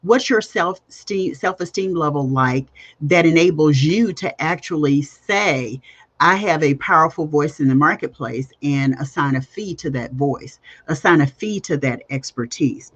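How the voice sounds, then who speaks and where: A woman's voice: low-pitched, unhurried, husky, lo-fi sound. A woman hosting a coaching podcast livestream, speaking emphatically, repeating key phrases and putting direct questions to her listeners. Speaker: podcast host and business coach.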